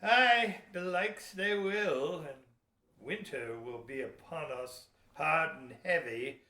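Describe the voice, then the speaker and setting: A man acting a gruff, crafty sea captain in a historical audio play, goading people in archaic, emphatic seafaring speech. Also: fairly high pitch, slow pace, sharp tone. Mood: feigned sympathy. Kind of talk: amateur audio theater historical drama acting. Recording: echoey room.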